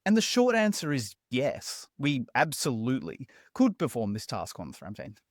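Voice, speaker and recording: Man, tutorial host, upbeat conversational voice-over, animated intonation, dry close sound. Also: medium pitch, moderate pace, no echo.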